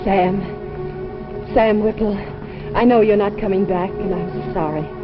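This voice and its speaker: A woman's voice: mid-range voice, fairly even intonation, a little slow, lightly acted. An actress playing a tender, admiring woman from the future in a 1950s radio drama, earnest and consoling. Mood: tender, reverent. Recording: muffled vintage radio with prominent music.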